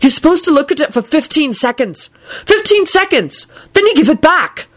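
A woman's voice: mid-range voice, animated, brisk, darkish tone, natural voice. A woman voicing a mild-mannered young wizard man in a storybook, gloomy and apologetic but vehement when roused. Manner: apologetic, turning to indignant barking.

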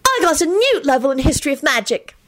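A competitive young witch, acted by a woman, high and emphatic. Her pitch leaps about as she exclaims, in a fantasy audiobook. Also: sing-song, quick pace, a bit bright. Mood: thrilled.

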